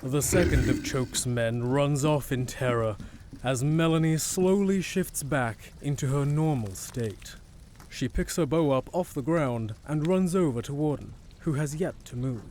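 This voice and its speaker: A man's voice: medium pitch, a little slow, darkish tone, a little hiss or hum, close to an everyday voice. A man reading dramatic scripted narration for an audio drama as its narrator.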